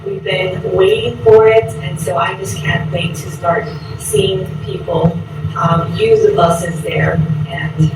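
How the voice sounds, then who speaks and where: A woman's voice: fairly low pitch, fairly even intonation, slow pace. A woman on a board commenting at a public meeting, proud and enthusiastic, with frequent fillers. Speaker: board member. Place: large echoey meeting room. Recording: echoey, distant, noisy meeting recording.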